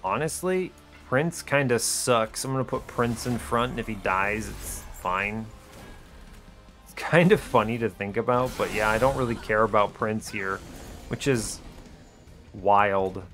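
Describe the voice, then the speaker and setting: A man's voice: lowish voice, a bit bright. A man giving casual strategy game commentary, thinking aloud and questioning his own choices as he explains his reasoning. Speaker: gaming video host. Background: music behind the voice.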